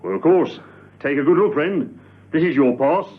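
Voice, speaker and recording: A man's voice: on the high side, moderate pace, husky. An actor playing a bossy villain who barks clipped orders to his gang in a spy radio drama. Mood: smug, brisk. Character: brisk, bossy male villain leading a masked spy gang. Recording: dull old radio, busy background voices.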